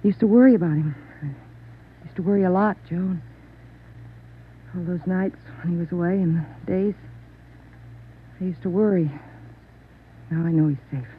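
A woman playing a brave, grieving policeman's widow, restrained and halting, in a radio drama. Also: fairly low pitch, close to an everyday voice. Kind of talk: 1940s-50s radio drama acting. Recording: muffled old radio, faint noise.

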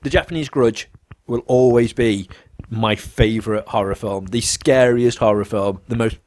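A man's voice: medium pitch, normal speed, a little mellow. Sweary, opinionated young man chatting. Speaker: podcast co-host. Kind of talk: casual chat.

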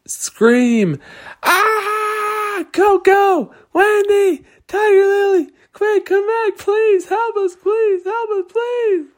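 A man voicing scared little girls in a story, shrieking high and calling out in panic. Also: very slow, heavily acted. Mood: panicked.

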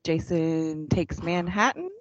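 A woman's voice: fairly low pitch, expressive, takes it slowly, no echo, dull audio. A woman co-hosting a podcast in casual chat, giggling often and drawling her fillers. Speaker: podcast co-host.

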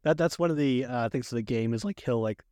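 Male co-host, mid-range voice, dry recording, informal halting pop-culture chat. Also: medium pitch, a little mellow, dry recording. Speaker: podcast co-host. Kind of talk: casual movie and game discussion.

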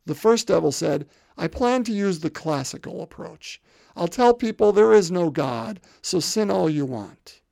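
A man in his fifties gives a sermon, with heavy gravel in his fairly high voice. He speaks conversationally, often repeats words and keeps asking the congregation rhetorical questions. The recording is close and dry. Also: lively intonation, a little slow, a little mellow, dry recording. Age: 50s. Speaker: pastor.

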